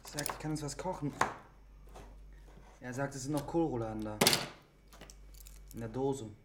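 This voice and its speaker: A withdrawn young son in his twenties, as a male actor plays him in a radio drama. He speaks in a halting, subdued and guarded way at a middling pitch, under loud noise with clicks. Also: lightly acted. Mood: flat, resigned. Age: 20s. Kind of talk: naturalistic German radio drama acting.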